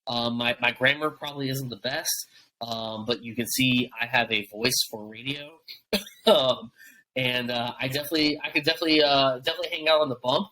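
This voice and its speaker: Bright-voiced rambling young podcast host. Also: male voice, medium pitch, steady tone, brisk, poor audio. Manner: rambling, many fillers and restarts. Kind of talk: casual wrestling podcast chat.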